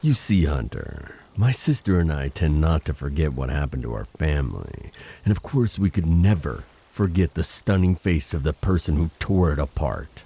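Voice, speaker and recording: Wounded male siren , man acting, audio roleplay: gravelly, damaged throat, swooping delivery, grim mockery. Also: extremely low pitch, lively intonation, normal speed, mellow, full character voice. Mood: grim, resentful. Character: vengeful wounded male siren, grimly mocking.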